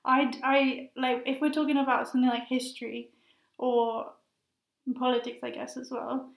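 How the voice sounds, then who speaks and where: A young woman in her twenties with a high voice, a master's student answering interview questions in a hesitant, thoughtful way with many fillers. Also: flat delivery, moderate pace, gravelly. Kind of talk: casual conversational interview. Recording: roomy echo.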